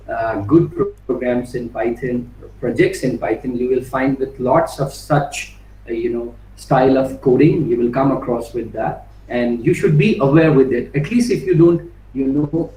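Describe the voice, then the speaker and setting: A man teaching an online coding workshop, talking his way through live code with frequent "right?" and "you know" fillers, restarting phrases as he types and reading code strings out slowly. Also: medium pitch, dark tone, distant mic. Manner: restarts and repeats words while typing. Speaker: workshop instructor. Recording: roomy, off-mic with faint background noise.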